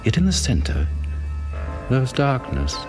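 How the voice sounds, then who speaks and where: An actor in his fifties with a British accent playing a fussy, brooding husband in a radio drama, measured and confiding. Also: medium pitch, huge pitch swings, over music. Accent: British English. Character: fussy middle-aged husband confessing a dark urge to kill.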